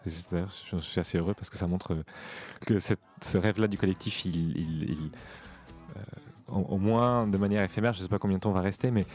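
Young male student, deep and soft-edged voice, hesitant and thoughtful, documentary testimony. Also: faint music behind. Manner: hesitant, halting, reflective. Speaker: theatre school student in an occupation.

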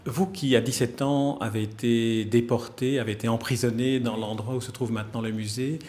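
A man's voice: mid-range voice, steady tone, moderate pace, darkish tone, soft background music. A male journalist asking long, formal interview questions, restarting phrases as he goes.

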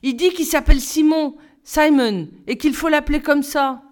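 A woman voicing a timid little schoolboy who speaks in a hushed, hesitant way. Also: high voice, normal speed, pitched above the performer's own voice, natural voice.

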